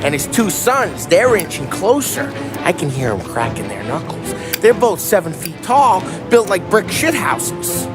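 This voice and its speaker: An American actor playing a brash gangster who tells crude stories in a cinematic crime game. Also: male voice, high voice, wildly swooping pitch, unhurried, hardly any pauses, music in the background, exaggerated voice. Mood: suspenseful. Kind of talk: animated storytelling in game drama.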